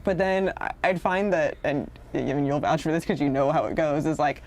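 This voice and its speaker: A woman's voice: sits low, fairly quick, darkish tone. A woman in casual, reflective conversation, rambling and slipping into quoted asides as she explains herself.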